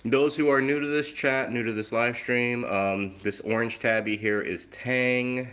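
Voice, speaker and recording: A man's voice: medium pitch, steady tone, unhurried, fairly bright tone. A man hosting a casual live stream on his phone, chatty and easygoing, with a lingering cough that leaves his voice a little off. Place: outdoors, then indoors at home.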